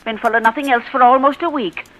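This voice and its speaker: A woman's voice: a little high, fast talker, muffled recording, a little hiss or hum, close to an everyday voice. An actress playing a brusque, nosy working-class woman who calls people dearie, in a 1940s radio drama. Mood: gossipy. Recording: old radio broadcast with music.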